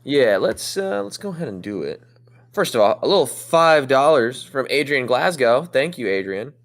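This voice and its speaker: A man's voice: medium pitch, very animated, normal speed. A man co-hosting a podcast, easygoing, reading out viewer super chats. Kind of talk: casual chat.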